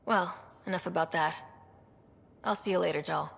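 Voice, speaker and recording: A woman's voice: lowish voice, moderate pace, gravelly, fairly bright tone, breathy edge, in a large hall, heavily acted. An actress playing a confident, direct young female space explorer in a video game, frank and plainspoken. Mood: assured, firm. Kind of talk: video game cutscene acting.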